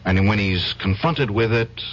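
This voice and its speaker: An actor playing a troubled, agitated defence lawyer with a halting, strained delivery in a 1970s radio drama. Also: male voice, lowish voice, fairly bright tone. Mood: uneasy, earnest. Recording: dull, hissy old radio broadcast.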